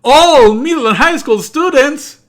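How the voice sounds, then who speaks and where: A man giving live let's play commentary and reading game text aloud, exasperated and sarcastic, with animated outbursts and frequent swearing. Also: extremely high pitch, unhurried. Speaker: streamer playing and commenting on a visual novel.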